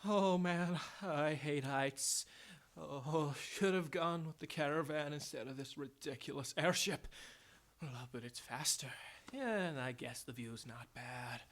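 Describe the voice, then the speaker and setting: A man's voice: on the high side, a little slow. A man playing a grumbling, blustering soldier who scares easily, in an audio drama. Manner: excitable, blustering. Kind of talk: fan audio drama acting.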